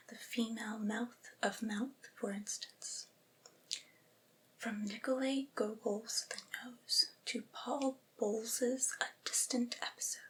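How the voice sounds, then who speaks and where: A woman reads literary prose aloud for ASMR in a soft, breathy whisper. Her pace is very unhurried, with careful, deliberate phrasing. The voice sits on the higher side, with almost no voiced tone. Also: poor audio. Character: devoted servile female vampire's familiar soothing master. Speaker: ASMR performer reading aloud.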